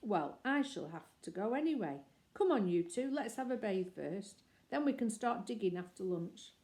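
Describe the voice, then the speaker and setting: A woman's voice: mid-range voice, expressive, normal speed, mellow, lightly acted. A woman voicing an eager, bossy young girl who hurries the others along.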